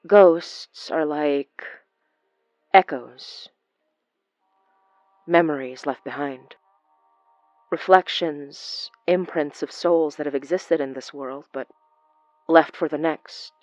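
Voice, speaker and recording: An actress playing a wry, gentle young woman in an audio drama, speaking in a thoughtful, playful, conversational way. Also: sits low, takes it slowly, long pauses, no room sound, lo-fi sound, faint music behind.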